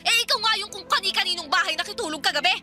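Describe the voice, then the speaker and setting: Piercingly high, accusing young mother. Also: racing, bright, forceful tone, faint music behind, exaggerated voice. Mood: scornful, bitter. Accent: Filipino. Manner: confrontational, accusing, nagging. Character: angry, suspicious, sharp-tongued young mother fed up with her partner. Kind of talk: heated radio drama dialogue.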